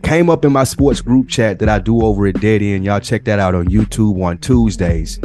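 Low American male co-host, explanatory, music underneath. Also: normal speed, darkish tone. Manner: informal, explanatory. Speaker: podcast co-host. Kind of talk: casual sports talk.

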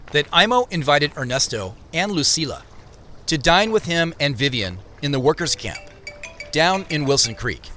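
A man's voice: medium pitch, bright, forceful tone. A man narrating a scripted story in a documentary-like style.

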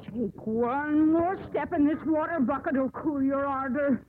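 An actress playing a proud, haughty young mistress of a ship in 1950s radio drama style. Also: female voice, high-pitched, unhurried, a little hiss or hum. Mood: indignant. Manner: formal, haughty. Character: imperious, proud young Irish ship's mistress. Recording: muffled 1950s radio broadcast, music behind.

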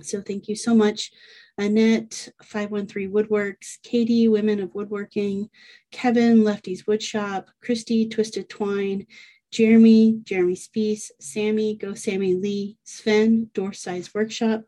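A woman's voice: medium pitch, little pitch movement, a little slow, dark tone. A woman hosting a podcast, thanking supporters and interviewing a guest in a friendly, encouraging way. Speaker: podcast host.

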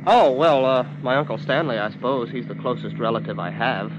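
A polite young heir to a fortune, acted by a man, choosing his formal words with hesitant care. His voice sits a little low, in old radio drama style. A clear layer of noise and hum lies over the recording. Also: brisk, highs cut off, lightly acted. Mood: uneasy, guarded. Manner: formal, hesitant, careful wording. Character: hesitant, well-mannered young millionaire heir, male. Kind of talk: 1950s radio drama acting.

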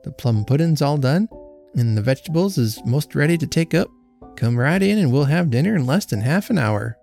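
A man voicing a hearty, practical country woman with a brisk, folksy manner. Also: a little high, lively intonation, vocal fry, a little mellow, soft background music. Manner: brisk, folksy, matter-of-fact. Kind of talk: audiobook character voice.

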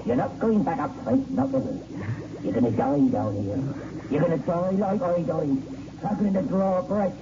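Casting: vengeful murdered man's ghost, radio horror. Gravelly, menacing, swooping delivery; muffled, noisy old recording. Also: high voice, lively intonation. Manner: taunting, menacing, drawn-out accusations. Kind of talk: 1960s radio horror acting.

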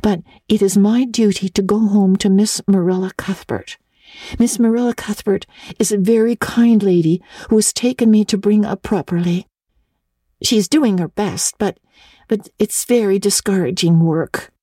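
A woman's voice: mid-range voice, steady tone, moderate pace, dark tone, dry recording, studio quality, pitched above the performer's own voice, lightly acted. A woman voicing an eager, earnest orphan girl in a storybook reading, talkative and polite, with breathless stammers.

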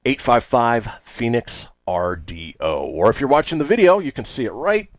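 Male radio show host, animated and emphatic with chatty asides, recorded dry and close. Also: medium pitch, normal speed, no room sound. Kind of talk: upbeat radio show hosting. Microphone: close studio radio mic.

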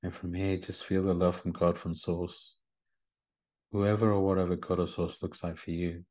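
Very deep-voiced man guiding a meditation. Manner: gentle, soothing, unhurried phrasing with short instructions. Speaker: guided meditation leader. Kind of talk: guided meditation. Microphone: video call. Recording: slightly muffled video call audio.

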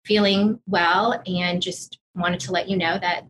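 A woman telling personal stories as an interview guest, sincere and halting, restarting phrases for emphasis. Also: on the low side, little pitch movement, background noise. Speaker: podcast guest, corporate executive. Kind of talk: personal storytelling in casual interview.

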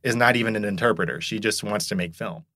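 A man's voice: on the low side, quick pace, bright, forceful tone, no room sound, studio quality. A man who grew up in Korea, a podcast guest chatting casually and rambling with lots of filler words. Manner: rambling, heavy use of "like" and "you know". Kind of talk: casual conversation.

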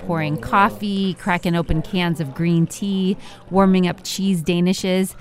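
Low-voiced woman narrating a radio report. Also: steady tone. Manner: measured, clear storytelling delivery.

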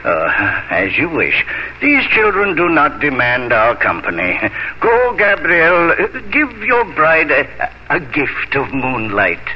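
An actor playing a warm, dignified elderly father and village leader in a 1950s radio drama. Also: on the high side, huge pitch swings, slow, a little hiss or hum, exaggerated voice. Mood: hospitable. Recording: dull old radio recording, music behind.

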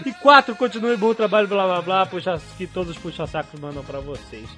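A man's voice: sits high, fairly quick, fairly bright tone, faint music behind. A Brazilian man co-hosting a casual comedy podcast, jokey and exclamatory, chatting and reading out listener mail. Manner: jokey, exclamatory, prone to laughing. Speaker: podcast co-host.

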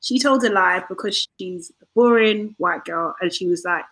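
A woman's voice: lowish voice, normal speed, fairly bright tone, breathy edge, low-quality recording. A woman co-hosting a casual panel chat, talking in a heated, emphatic rant.

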